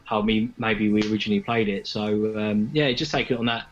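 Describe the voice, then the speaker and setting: A man's voice: lowish voice, level tone, fairly quick. A man chatting casually and in a rambling, earnest way as an interviewed band member. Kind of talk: casual chat. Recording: dull lo-fi call audio.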